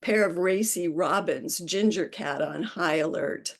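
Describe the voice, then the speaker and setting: A woman reading her own poetry aloud at a live event, with a slow, measured delivery and hesitant asides between poems. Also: lowish voice. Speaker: poet reading her work.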